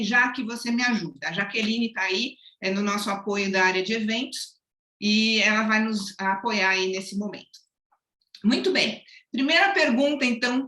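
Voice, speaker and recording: A woman's voice: mid-range voice, a bit bright, roomy sound. A woman moderating a panel webinar on a video call, chatty and effusive.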